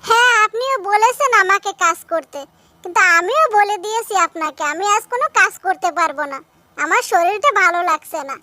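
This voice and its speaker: Woman acting a high, defiant young wife. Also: expressive, a little fast, bright, forceful tone, no echo, heavily acted. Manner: complaining, answering back. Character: aggrieved, defiant young daughter-in-law. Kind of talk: cartoon dub acting.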